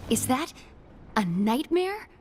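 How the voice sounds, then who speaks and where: An actress playing a guarded, questioning female warrior apprentice in an animated game cutscene. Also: high-pitched, takes it slowly, slight background noise, exaggerated voice. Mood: suspicious.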